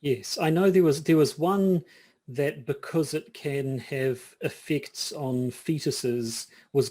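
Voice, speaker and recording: A man's voice: mid-range voice, mellow. A middle-aged man with a New Zealand accent, co-hosting a podcast in casual chat, often restarting his sentences and repeating words. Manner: hesitant, many false starts and repeated words. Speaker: podcast co-host.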